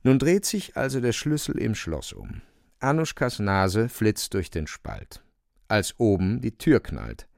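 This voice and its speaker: An actor playing the wry narrator of a radio drama, telling the tale theatrically in short phrases with pointed pauses. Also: male voice, lowish voice, wildly swooping pitch, creaky, darkish tone, no echo, clean studio sound, heavily acted. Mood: wry, suspenseful. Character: wry, vivid male storyteller relishing grotesque events.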